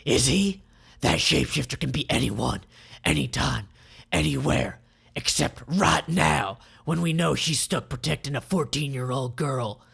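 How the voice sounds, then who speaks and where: Man acting gravelly, angry villain tycoon. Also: medium pitch, unhurried, full character voice. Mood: cold, menacing. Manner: forceful, menacing, dramatic. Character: angry, vengeful male villain, ex child-labor tycoon.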